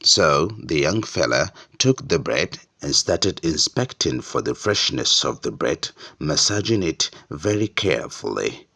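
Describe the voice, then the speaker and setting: Man, Nigerian accent, folktale narrator, unhurried, husky, expressive pitch movement. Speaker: storyteller.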